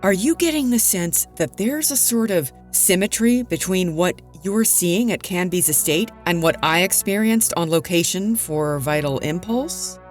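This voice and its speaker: A woman's voice: lowish voice, expressive, measured pace, few pauses, faint music behind. A woman playing a composed radio interviewer questioning her colleague with concern in an audio drama.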